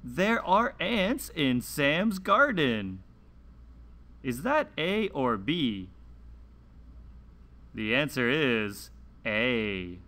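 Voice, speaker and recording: A man hosting a children's read-along lesson, reading a script slowly and deliberately with careful enunciation and sing-song emphasis. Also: mid-range voice, big pitch swings, pushed and bright.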